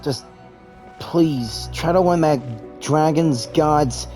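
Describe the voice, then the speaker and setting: A person reading video game dialogue aloud in an earnest, deliberate manner. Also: medium pitch, a little mellow. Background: music.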